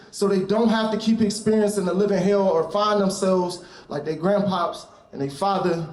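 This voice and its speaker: A man with a notably high voice recites spoken word poetry. His delivery is reflective and confessional, like a poet baring himself. The live room throws a clear echo around him. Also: darkish tone. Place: indoor stage at a live poetry event.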